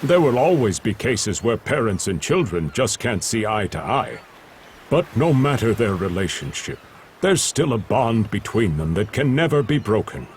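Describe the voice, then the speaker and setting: Expressive, low-voiced gentle doctor. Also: male voice, moderate pace, a little hiss or hum. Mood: calm, reflective. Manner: polite, considered. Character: gentle, thoughtful adult male doctor. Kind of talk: video game cutscene voice acting.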